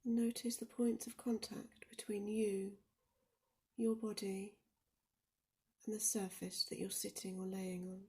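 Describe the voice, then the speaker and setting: A woman leading a guided meditation in a soft, soothing, often near-whispered voice, speaking deliberately with gentle pauses. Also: medium pitch, level tone. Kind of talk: guided body scan meditation.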